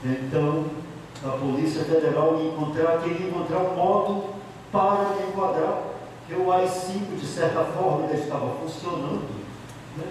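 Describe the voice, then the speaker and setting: A man's voice: a little high, normal speed, a little mellow, slight background noise. A middle-aged man with a Bahian Portuguese accent, a priest telling his life story to a group in an office meeting room on a handheld mic, emphatic, repeating key phrases. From Bahia, Brazil. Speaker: priest giving a talk. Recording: echoey room from a far camera.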